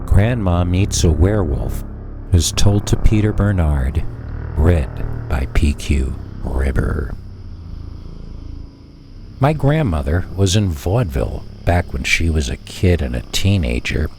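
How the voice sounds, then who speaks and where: A man's voice: low-pitched, lively intonation, takes it slowly, over music. A man narrating a scripted tale as a storyteller.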